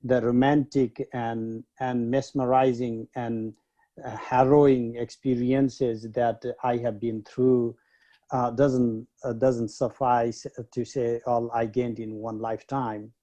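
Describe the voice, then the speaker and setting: A man from Nepal, a guest scholar, recalling his upbringing in an unhurried way with many pauses. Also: mid-range voice, level tone, mellow, lo-fi sound. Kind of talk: reflective interview talk.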